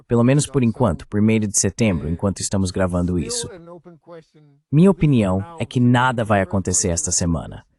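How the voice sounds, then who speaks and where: A man's voice: fairly low pitch, darkish tone. A man with a Brazilian accent speaking as an interview guest, giving political analysis in a translated voice-over.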